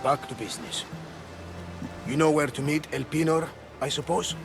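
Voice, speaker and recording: An actor playing a loyal, devout older ship captain with a gravelly voice in a video game. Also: male voice, fairly high pitch, moderate pace. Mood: earnest, upbeat. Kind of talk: video game cutscene acting. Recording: background music under the voice.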